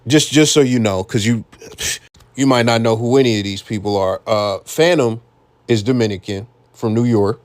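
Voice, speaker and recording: A man's voice: medium pitch, moderate pace. An American man hosting a reaction commentary, talking bluntly and casually with frequent profanity. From United States. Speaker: commentary channel host.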